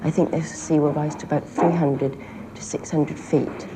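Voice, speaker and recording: Halting British teenage boy, noisy recording. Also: a little high, steady tone. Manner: halting, hesitant. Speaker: young interviewee. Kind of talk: speculative interview answer. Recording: noisy 1960s archival interview.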